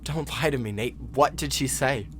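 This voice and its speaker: A man's voice: medium pitch, moderate pace, lightly acted. A man acting in an audio drama as a bookish, insistent young male student with a pressing, controlling manner. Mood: accusing. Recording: noticeable background noise and ambience.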